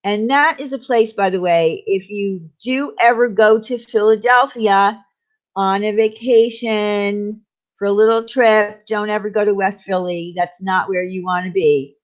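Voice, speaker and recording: Slow, chatty New woman. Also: mid-range voice, fairly even intonation. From New York. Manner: chatty, rambling, laughs easily. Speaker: livestream host. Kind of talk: casual live chat reading viewer comments. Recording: dull lo-fi livestream audio.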